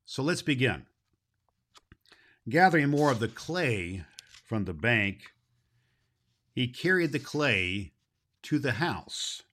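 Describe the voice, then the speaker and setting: Male performer as grandfatherly Christmas gift-bringer, jolly and hearty; forceful bright voice, swooping intonation, deliberate storyteller phrasing for kids. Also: mid-range voice, big pitch swings, exaggerated voice. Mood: storytelling. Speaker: host of a children's Christmas story show. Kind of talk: hearty in-character greeting and storytelling for children.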